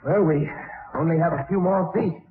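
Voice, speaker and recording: A man's voice: on the high side, normal speed, background noise, close to an everyday voice. An actor playing an eager, observant crewman in a 1940s radio drama, earnest and inquisitive. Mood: alert, observant. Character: eager, observant young crewman exploring alien ruins. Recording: muffled tinny old radio recording.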